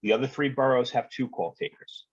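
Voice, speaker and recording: A man's voice: mid-range voice, fairly even intonation, brisk, slightly rough, dry recording, lo-fi sound. A man telling work stories in a conversational podcast style, matter-of-fact, colloquial and salty. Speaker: guest, retired fire dispatcher.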